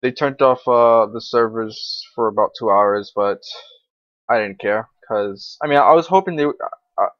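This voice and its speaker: A man's voice: medium pitch, measured pace, no echo. A sixteen-year-old male gamer giving casual, rambling commentary over gameplay in a hesitant, informal way. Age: teenager, 16. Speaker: gaming video creator. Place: indoors at a computer, screen recording. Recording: dull, lo-fi, dry computer mic.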